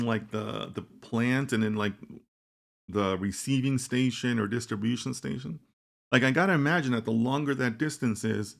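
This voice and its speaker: A man's voice: mid-range voice, creaky. A man hosting a casual data science livestream, thinking aloud in a rambling, conversational way and tagging his points with "right?". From United States. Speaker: host of a live data science chat. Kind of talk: casual livestream chat reading viewer comments.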